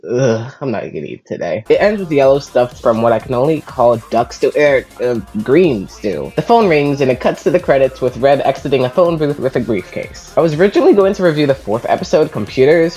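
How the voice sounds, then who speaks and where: A woman's voice: rumbling low, big pitch swings, fairly quick, few pauses, dark tone, faint music behind. A woman hosting a video review, reading scripted narration with casual asides. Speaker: host of a video review show.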